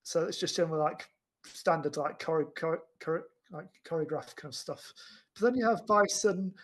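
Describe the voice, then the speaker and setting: A man chatting casually as a podcast panelist, halting and stammering as he repeats words to find his phrasing. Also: a little high. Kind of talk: casual film discussion chat.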